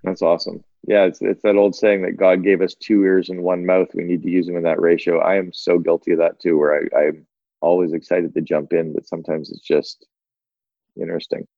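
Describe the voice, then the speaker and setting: A man in his fifties hosting a podcast interview, upbeat and talkative, quick to agree with his guest. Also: deep voice, level tone, gravelly, dark tone, dry recording, dull audio. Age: 50s. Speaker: podcast host. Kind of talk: casual interview chat.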